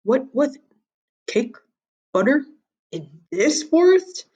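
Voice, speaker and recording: A woman's voice: sits high, big pitch swings, takes it slowly, warm tone, slightly rough, pitched above the performer's own voice, heavily acted. A woman voicing a sly, hungry storybook wolf who narrates his tale to children in a wry, theatrical, confiding way. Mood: hungry, scheming.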